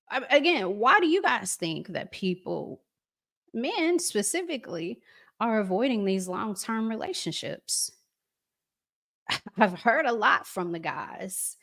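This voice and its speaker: Slow-paced woman hosting a reflective chat. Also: mid-range voice, fairly bright tone. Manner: conversational, reflective, asks listeners rhetorical questions. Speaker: host reading and answering viewer comments. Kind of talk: casual live commentary chat with listeners.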